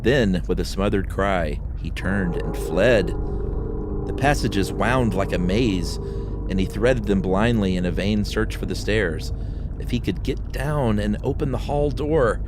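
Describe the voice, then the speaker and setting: A man co-hosting a podcast, telling horror stories in an expressive, theatrical way and chatting casually. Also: low voice. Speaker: podcast co-host. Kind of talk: dramatic reading of a horror story and casual chat. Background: music.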